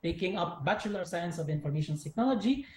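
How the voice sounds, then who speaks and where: A man's voice: sits high, expressive, fast talker, reverberant. A man in his twenties with a Cebuano accent, introducing himself briefly and to the point as a student guest. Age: 20s.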